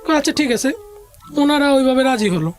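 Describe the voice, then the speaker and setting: A woman with a noticeably high voice and a Bangladeshi accent recounts a personal story as an interview guest. She talks conversationally and often repeats what others said in direct speech. Faint music plays behind her. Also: animated, a little fast.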